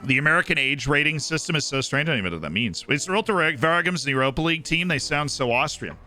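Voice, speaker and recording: A man streaming a football management game, commenting excitedly on matches and chatting directly with his viewers. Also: on the high side, quick pace, faint music behind. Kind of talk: casual live-stream commentary to chat.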